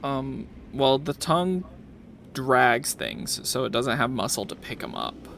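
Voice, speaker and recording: A man acts as game master, talking through rules and describing scenes off the cuff. His voice sits at an ordinary middle pitch. There is faint noise behind him. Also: animated, a little slow, creaky, a bit bright. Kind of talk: improvised tabletop game narration and rulings.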